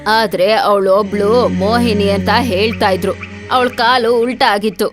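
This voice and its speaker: ನಟಿಯೊಬ್ಬಳು ಅಭಿನಯಿಸಿದ ನಿಗೂಢ ಕಥೆ ಹೇಳುವ ಢಾಬಾ ಒಡತಿ. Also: female voice, mid-range voice, steady tone, measured pace, music in the background, close to an everyday voice. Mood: ominous. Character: motherly, ominous eatery woman telling ghost tales.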